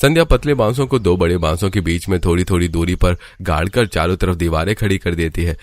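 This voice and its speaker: A man's voice: low voice, brisk, no echo, clean studio sound. A man narrating a scripted cartoon storybook tale as the narrator.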